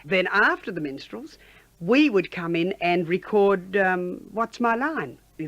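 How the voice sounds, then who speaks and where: A woman's voice: on the low side, dry recording, highs cut off. Older woman reminiscing chattily. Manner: rambling, conversational recollection. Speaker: former radio announcer, interviewee.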